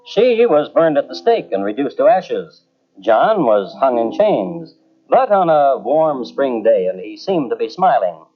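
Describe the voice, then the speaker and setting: A man giving wry, measured scripted narration in an old radio drama. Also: mid-range voice, sing-song. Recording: muffled old radio broadcast.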